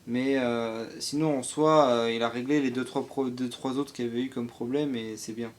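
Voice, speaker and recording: A man with a mid-pitched voice chats casually as a review co-host, with faint noise in the background. His delivery is hesitant and rambling. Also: fairly even intonation, normal speed.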